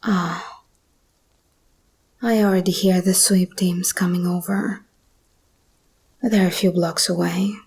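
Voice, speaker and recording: A woman voicing a seductive, menacing female monster hybrid in an ASMR roleplay, speaking in a breathy whisper with slow, deliberate, teasing delivery. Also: fairly low pitch, fairly even intonation, a little mellow, heavily acted. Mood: wary, threatening.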